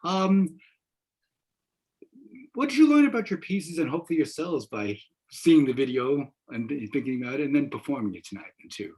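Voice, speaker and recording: A man's voice: fairly high pitch, big pitch swings, moderate pace, a little mellow, husky, rough recording. A man in his sixties moderating an informal panel on a video call, encouraging and rambling, often repeating words. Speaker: moderator, professor. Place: wood-paneled room indoors.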